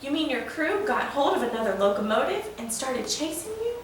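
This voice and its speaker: Lady reading as a curious eager guy asking questions, storybook style, lively pitch, really echoey room. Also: brisk. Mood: eager, interested. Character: Big John, curious admiring male listener. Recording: echoey room, distant mic, faint noise.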